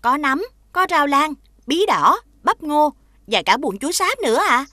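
Eager high-voiced maid. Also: female voice, big pitch swings, measured pace, sharp tone, no echo, clean studio sound, full character voice. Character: respectful, eager household maid. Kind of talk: animated folktale dubbing.

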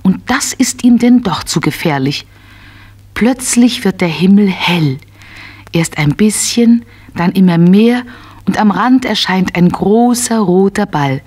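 Female storyteller for children's audio play. Mid-range voice, measured phrasing. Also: medium pitch, animated, a little mellow, breathy edge. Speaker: narrator. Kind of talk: scripted children's story narration.

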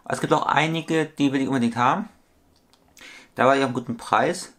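Man in his thirties vlogging from a small home room on a close webcam. He talks in a loose, rambling way, circles back on his points and corrects his own sums out loud. His pitch sits right in the middle. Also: a little fast. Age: 30s. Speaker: toy collector vlogger. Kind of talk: casual vlog monologue.

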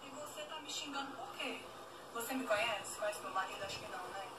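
A woman's voice: a little high, fairly quick. Phone-played woman, noisy and distant. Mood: scolding. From Recife, Brazil. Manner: mocking, then scolding. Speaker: fan sending a video message. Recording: phone video played aloud, roomy, heavy noise.

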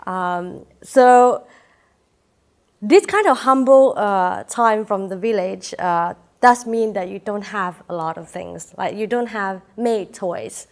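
A woman's voice: medium pitch, expressive, takes it slowly. A woman in her thirties from Malaysia, Chinese her first language, with a Malaysian accent, giving a chatty, personal keynote talk to an audience while feverish. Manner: chatty, personal, laughs often, frequent "uh" fillers. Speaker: keynote speaker. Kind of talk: inspirational talk. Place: lecture room with audience.

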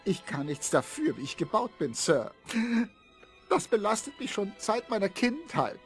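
An actor playing a small, polite robot secret agent in a video game, speaking in a formal, precise, earnest way. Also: male voice, sits high, huge pitch swings, unhurried, soft background music, exaggerated voice. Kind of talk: acted video game cutscene dialogue.

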